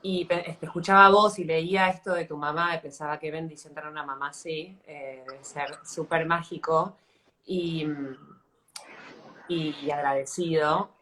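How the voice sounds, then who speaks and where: An Argentine woman in her thirties with a low-pitched voice leads a casual interview. She talks reflectively and haltingly, searching for words as she goes. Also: fairly even intonation, a bit bright, a little hiss or hum, low-quality recording. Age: 30s. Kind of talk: casual live interview chat.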